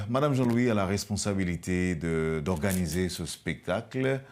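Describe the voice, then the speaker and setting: A man's voice: fairly low pitch, a little mellow. A man with a Haitian accent hosting a broadcast interview in a courteous, formal manner. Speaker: television interview host.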